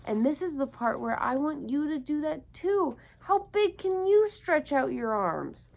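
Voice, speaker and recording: Female children's storyteller, high and very lively, slow-paced, encouraging and full of questions. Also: creaky. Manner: encouraging, asks questions and repeats them. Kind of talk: interactive picture-book read-aloud for young children.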